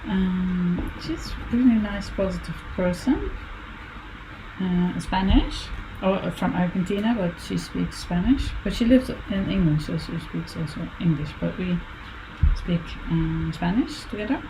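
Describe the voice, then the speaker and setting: Slow, musing Dutch-accented woman artist. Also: lowish voice. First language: Limburgish. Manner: halting, musing aloud, with self-corrections and soft laughs. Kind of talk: casual talk while drawing. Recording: audible background noise.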